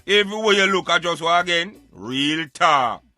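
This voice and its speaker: A man's voice: a little high, expressive, moderate pace, sharp tone, dry recording, soft background music. A Jamaican man giving emphatic, opinionated social commentary in Patois, like a street philosopher preaching on a radio show.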